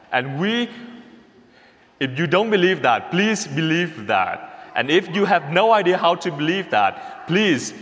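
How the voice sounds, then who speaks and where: Vietnamese-accented priest, male, slow homily delivery, mid pitch, long church reverb. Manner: slow, repeats words, speaks to the congregation with a gentle "okay?". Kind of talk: sermon.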